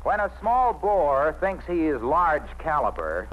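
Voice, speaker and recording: An actor as a hearty 1940s radio announcer introducing a comedy scene. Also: male voice, on the high side, sing-song, a little slow. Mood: jaunty. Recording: muffled, noisy old radio recording.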